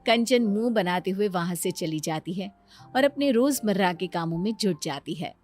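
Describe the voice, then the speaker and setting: A woman narrates a scripted moral tale in a medium-pitched voice. Soft music plays behind her storytelling. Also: lively intonation, moderate pace.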